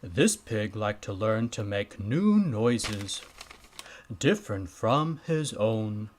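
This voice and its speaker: A man's voice: on the low side, very animated, takes it slowly, slight background noise. A man voicing a nice, naive puppet who hosts and narrates a children's story show. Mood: storytelling.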